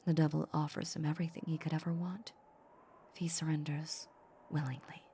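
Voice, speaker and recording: A woman playing a seductive, possessive female vampire in a breathy, whispered ASMR audio roleplay, lingering and teasing on her words. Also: low voice, flat delivery, a little fast, dark tone, slight background noise. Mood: brooding, hushed. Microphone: close microphone.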